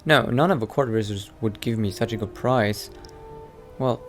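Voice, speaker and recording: A man's voice: fairly low pitch, moderate pace, lightly acted. A man roleplaying a hesitant, polite vampire adventurer in a video game, muttering and repeating himself, with music behind him. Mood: wry.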